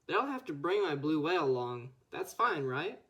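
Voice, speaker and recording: A woman's voice: deep voice, sing-song, normal speed, a bit bright. A young woman voicing a put-upon, defensive schoolboy in a picture book. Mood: hopeful.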